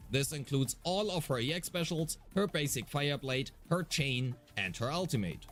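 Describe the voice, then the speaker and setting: A man's voice: fairly high pitch, lively intonation, normal speed, fairly bright tone, soft background music. A man reading scripted explanatory narration as a game guide, detailed and methodical with a mildly wry touch.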